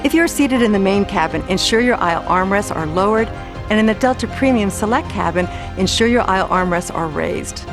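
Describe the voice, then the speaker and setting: A woman's voice: medium pitch, expressive, brisk. A woman narrating scripted airline safety instructions. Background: music.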